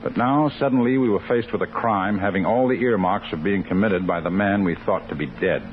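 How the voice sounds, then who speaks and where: A stern American district attorney played by a male actor in an early 1950s radio crime drama. His voice is very low, his delivery clipped and authoritative. The old broadcast is muffled, with clear surface noise. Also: a little fast, lightly acted. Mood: grave narration.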